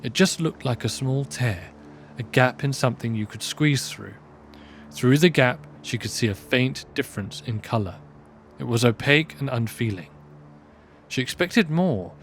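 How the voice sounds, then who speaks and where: A man's voice: mid-range voice, expressive, normal speed, slight background noise. A man giving scripted narration for a fantasy audio drama, in the style of a storybook. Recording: music bed under voice.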